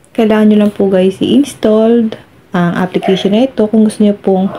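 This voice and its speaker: A woman's voice: on the low side, mellow, slight background noise, low-quality recording. A Filipino woman hosting a step-by-step phone tutorial, addressing viewers politely as she gives instructions. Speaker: tutorial host.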